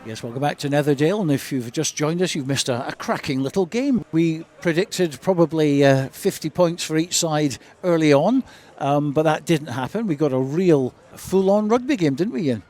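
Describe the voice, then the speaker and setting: A man's voice: fairly high pitch, expressive, normal speed, a little mellow, faint music behind. A man presenting live post-match chat on sports radio.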